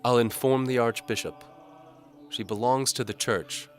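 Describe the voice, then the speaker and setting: A man's voice: mid-range voice, unhurried, faint music behind, pitched above the performer's own voice, lightly acted. A man playing a pompous, menacing, indignant bishop who schemes in an audio drama. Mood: warning. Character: pompous scheming bishop, snarling and cooing, easily outraged. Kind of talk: audio drama character acting.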